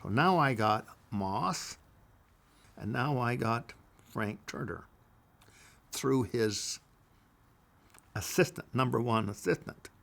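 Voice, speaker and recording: An older man tells stories from his own life, slowly and deliberately, driving points home by repeating himself. His voice is gravelly and his pitch moves a lot. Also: lively intonation, fairly bright tone. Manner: deliberate, repeats phrases for emphasis. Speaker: storyteller recounting his life. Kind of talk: casual oral memoir.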